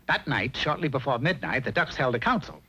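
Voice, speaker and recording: A man's voice: mid-range voice, sing-song, fairly quick, sharp tone, breathy edge. An American man giving arch, theatrical storybook narration for a 1960s cartoon. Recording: dull, faintly noisy old TV soundtrack.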